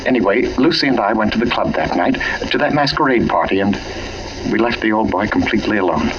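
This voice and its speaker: A man's voice: fairly low pitch, lively intonation, fast, a little hiss or hum, lightly acted. A man playing an anxious, guilt-ridden husband in 1940s radio mystery style, speaking urgently and earnestly. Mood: troubled, confiding. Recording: dull, muffled old radio recording.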